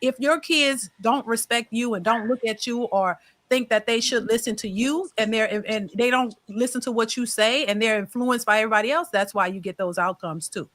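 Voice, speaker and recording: A woman on a casual panel, hesitating and hedging as she thinks through her answer out loud. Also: on the high side, normal speed. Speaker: channel host and panelist.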